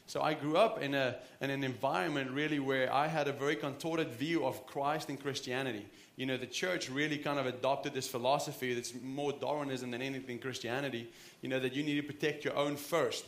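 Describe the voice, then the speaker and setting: A man's voice: medium pitch, steady tone, a little fast, few pauses. South African man preaching in an echoey church. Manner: earnest, conversational, frequent restarts. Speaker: pastor. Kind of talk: sermon. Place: church sanctuary.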